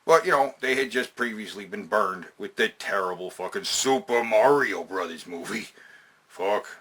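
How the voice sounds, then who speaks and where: Forceful, husky man, animated and sweary. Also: lowish voice, moderate pace. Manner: sweary, slangy, emphatic, repeats phrases for emphasis. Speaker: host of a video game and movie countdown. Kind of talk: casual opinionated countdown commentary.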